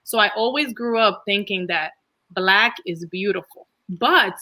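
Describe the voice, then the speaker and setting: Bright woman moderating a panel. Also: medium pitch, unhurried. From Dominican Republic. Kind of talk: casual panel hosting and personal storytelling.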